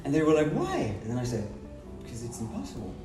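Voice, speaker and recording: A man's voice: medium pitch, huge pitch swings, quick pace. Male teacher, emphatic explainer, roomy sound with music underneath. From Brazil. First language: Portuguese. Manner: emphatic, explanatory, speaks in short repeated phrases. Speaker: English teacher. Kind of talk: podcast conversation. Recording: roomy, distant mic, music behind.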